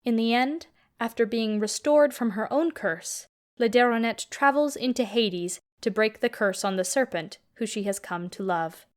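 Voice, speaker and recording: A woman's voice: on the high side, normal speed. Woman narrator telling a story. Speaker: narrator introducing orchestral music.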